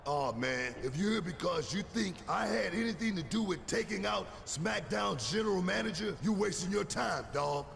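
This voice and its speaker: Cocky defiant wrestler promo, real gravelly, highish voice, super noisy game audio. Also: male voice, expressive, normal speed, hardly any pauses, a bit bright, faint music behind, full character voice. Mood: defensive, irritated. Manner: defiant, swaggering trash talk. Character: brash, boastful, defiant male pro wrestler confronting accusers. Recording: noisy game audio over arena ambience.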